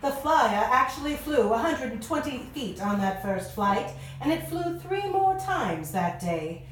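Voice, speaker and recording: A woman's voice: high voice, sing-song, measured pace. A woman giving scripted narration as the narrator of an amateur radio play. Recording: echoey room, distant mic, faint noise.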